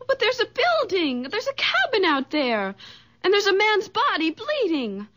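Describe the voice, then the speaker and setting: An actress playing a distraught, bewildered young fiancée in a radio drama, agitated and questioning. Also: female voice, extremely high pitch, huge pitch swings, a little slow, a bit bright, exaggerated voice. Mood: shaken, bewildered. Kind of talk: old-time radio drama acting. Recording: dull lo-fi radio drama recording.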